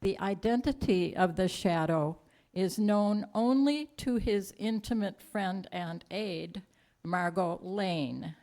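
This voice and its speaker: A woman's voice: medium pitch, darkish tone. A woman playing a solemn announcer in a 1930s radio drama, reading scripted narration dramatically. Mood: solemn, ominous. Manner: measured, dramatic.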